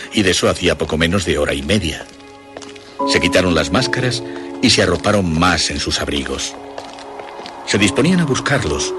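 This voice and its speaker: A deep-voiced man narrates horror fiction. His delivery is dramatic and deliberate, with animated intonation over prominent music. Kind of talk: dramatic scripted horror storytelling.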